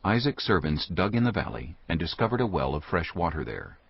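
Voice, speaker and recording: Deep, gravelly male scripture narrator. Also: very animated, brisk. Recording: dull recording over soft music.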